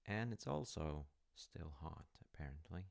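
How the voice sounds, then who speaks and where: A man playing a gentle, reflective dad in an unhurried, intimate audio roleplay chat, gravelly and at times breathy. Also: extremely low pitch, lively intonation, heavily acted. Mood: warm, relaxed. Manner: reflective, gently encouraging, pausing. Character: gentle wholesome dad chatting warmly over tea.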